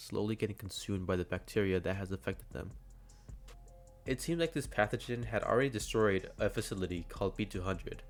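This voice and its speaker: A man hosting a video, giving scripted analysis commentary. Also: on the low side. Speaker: YouTube host. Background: faint music.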